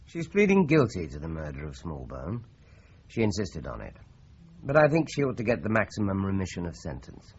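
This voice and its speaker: A British police inspector in a radio play, with a husky voice that rises and falls freely. He speaks deliberately and methodically, like someone setting out evidence, on a slightly dull recording. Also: low voice, wildly swooping pitch, full character voice. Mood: matter-of-fact. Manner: deliberate, expository. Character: measured, methodical male police inspector explaining a murder case. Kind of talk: 1980s British radio drama acting.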